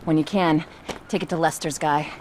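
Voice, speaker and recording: A woman's voice: low-pitched, fairly even intonation, normal speed, natural voice. An actress playing a terse, matter-of-fact female crew member on a heist job. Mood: curt. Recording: game audio with loud background noise.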